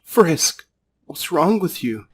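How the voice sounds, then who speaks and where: Cynical young goat prince acted by a man, unhurried and world-weary, self-doubting, fan dub drama. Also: on the high side, very animated, a little hiss or hum. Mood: alarmed. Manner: weary, sarcastic. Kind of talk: fan comic dub acting.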